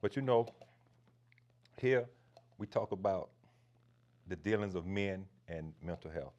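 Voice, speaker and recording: Host, man, lowish voice, relaxed and reassuring, casual fillers. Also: measured pace. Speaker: podcast host. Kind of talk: casual interview.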